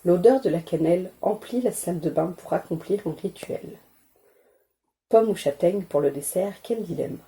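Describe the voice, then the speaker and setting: Woman, low-pitched, very dark mellow tone, soft ASMR poetry reading, measured and soothing. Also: expressive. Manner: measured, deliberate, soothing delivery. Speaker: ASMR creator reading poems. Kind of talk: slow, calm ASMR poetry reading, soft-spoken, not whispered.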